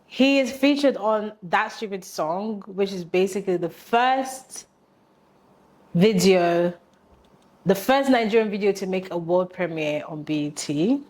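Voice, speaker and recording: A woman hosting a podcast interview, bubbly and enthusiastic, chiming in with quick affirmations. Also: mid-range voice, darkish tone. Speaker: podcast host.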